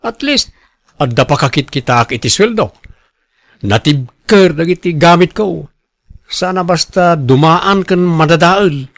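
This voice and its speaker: A stubborn, image-conscious family man acted by a man in a radio drama. His voice sits a little high for a man, with an Ilocano Filipino accent and a dry, close sound. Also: expressive, unhurried, no room sound. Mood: pleading. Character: proud, image-conscious husband and father, defensive and stubborn.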